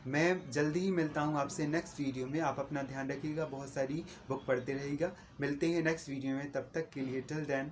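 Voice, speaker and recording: A man's voice: on the high side, fairly even intonation, fairly quick, few pauses, slight background noise. A Hindi-speaking man giving an earnest, repetitive book recommendation in a casual video review.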